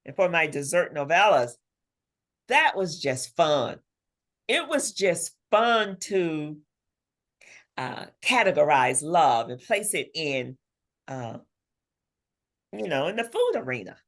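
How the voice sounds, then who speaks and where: A woman's voice: low voice, big pitch swings, slow, long pauses, a bit bright. A woman talking as an interview guest and author, telling stories expressively and acting out imagined bits of dialogue. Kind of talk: conversational interview, animated storytelling.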